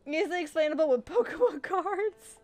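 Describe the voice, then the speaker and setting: A woman's voice: extremely high pitch, brisk, over music. A woman streaming a game, reacting casually in a giggly, exclamatory way. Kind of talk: casual live gameplay commentary.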